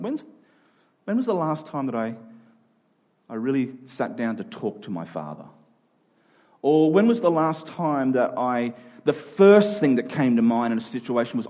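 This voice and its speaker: A man's voice: on the high side, darkish tone. A man preaching in a conversational, earnest and self-deprecating way, thinking aloud and repeating phrases as he goes. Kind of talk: conversational sermon.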